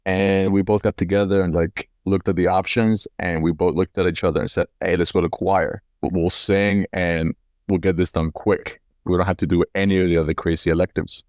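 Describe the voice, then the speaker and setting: Deep-voiced man from Colombia, casual storyteller. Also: level tone, moderate pace, a little mellow, no echo, studio quality. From Cali, Colombia. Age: 40s. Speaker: company CEO being interviewed. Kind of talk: casual autobiographical storytelling in an interview.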